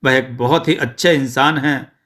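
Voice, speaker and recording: A man voicing a loyal, respectful old shop servant in a moral story, explaining earnestly and deliberately. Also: mid-range voice, steady tone, a bit bright, close to an everyday voice. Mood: earnest, revealing.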